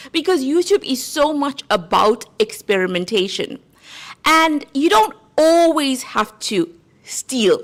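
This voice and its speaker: A woman with a South African accent, talking casually to camera about growing a channel, earnest and conversational, often checking in with "right?". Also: fairly high pitch. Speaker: YouTube creator. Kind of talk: casual talking-head advice.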